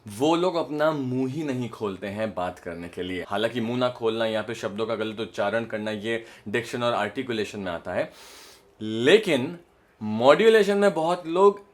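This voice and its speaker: A man's voice: medium pitch, normal speed. A man with an Indian accent explains like a voice coach, stressing points and often checking that the listener follows. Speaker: voice modulation coach. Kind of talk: instructional explanation to camera with demonstrations.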